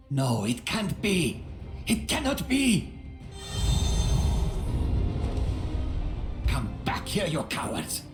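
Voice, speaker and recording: An actor playing a proud, menacing old assassin in a video game, haughtily speaking of himself in the third person. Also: male voice, mid-range voice, exaggerated voice. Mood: rising panic. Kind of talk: video game character acting. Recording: roomy sound with faint music.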